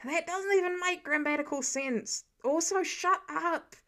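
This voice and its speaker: A woman's voice: extremely high pitch, measured pace, fairly bright tone, voice pushed up. A woman voicing an exasperated, competitive male superhero who snaps irritably in a story reading. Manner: irritated, snappy.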